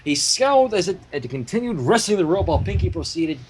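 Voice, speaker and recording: A man's voice: high-pitched, big pitch swings, quick pace, a little hiss or hum. A man narrating a fan fiction story as a streamer, with casual asides between passages.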